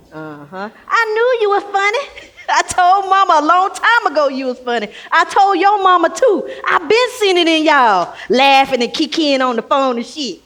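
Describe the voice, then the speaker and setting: Woman playing a mouthy mocking mom in a stage drama, super high sharp voice, swoops around. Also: heavily acted. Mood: mocking, amused. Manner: taunting, slangy. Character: brash, mocking, foul-mouthed mother, high and combative. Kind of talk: stage play acting.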